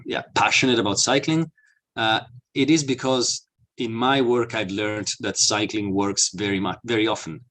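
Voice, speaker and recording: An Italian-accented man presents over a webinar, speaking in a fairly low voice. He explains earnestly, stopping on "uh" and restarting his sentences. It sounds like a computer microphone on a video call. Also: fairly bright tone. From Italy. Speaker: webinar presenter, mobility consultant.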